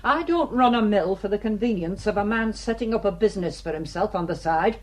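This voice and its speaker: An actress playing a spiteful, grasping old mill owner in a radio drama, with a Northern English accent and a blunt, scolding, sarcastic manner. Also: female voice, mid-range voice, animated, brisk, a little mellow, close to an everyday voice. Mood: domineering, threatening. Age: older.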